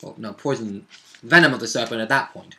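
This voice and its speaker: A man of middling pitch speaks with a very bright, sharp edge to his voice. He chats casually as a player and co-host, recapping a game session. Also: brisk.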